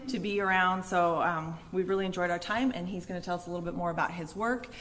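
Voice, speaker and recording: A woman's voice: on the low side, steady tone, fast talker, a bit bright. A woman hosting a gallery event, introducing the guest speaker in an upbeat, casual way.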